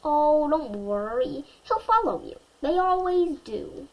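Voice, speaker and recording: Woman acting bakery lady, storybook, cheerful, reassuring, breezy, high pitch, lively melody. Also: slow pace, low-quality recording.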